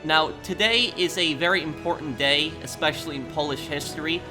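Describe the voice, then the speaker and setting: A man's voice: fairly high pitch, fairly quick, music in the background. A man from the United States speaking casually and earnestly as a gaming YouTuber, explaining things as he introduces a playthrough. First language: English.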